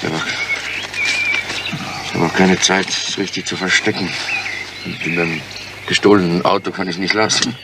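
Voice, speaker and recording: An actor playing a stubborn, sardonic criminal in a crime radio play, talking bluntly and guardedly. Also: male voice, low-pitched, fairly even intonation, moderate pace, pauses often. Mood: guarded, harried. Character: hard-boiled male criminal, stubborn, sardonic, bargaining with police. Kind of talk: crime radio drama acting. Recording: noisy old radio play.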